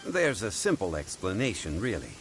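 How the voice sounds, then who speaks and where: An actor voicing a calm, courteous, learned gentleman in a video game, with a deep rumbling voice and measured, formal speech. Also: a little hiss or hum. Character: calm, courteous, learned gentleman consultant, quietly self-assured.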